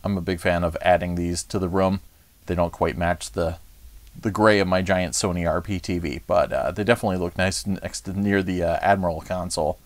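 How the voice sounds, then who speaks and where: A man's voice: low voice, steady tone, brisk, no echo. A man hosting a casual, unscripted home video about his gear in a chatty, rambling, enthusiast's way. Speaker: vlog host. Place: furnished music room at home, indoors.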